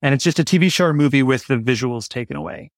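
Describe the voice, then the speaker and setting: A man's voice: mid-range voice, fast talker, no echo, studio quality. A man speaking casually as an interview guest, thinking aloud and often rephrasing himself as he explains his writing. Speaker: podcast guest, playwright.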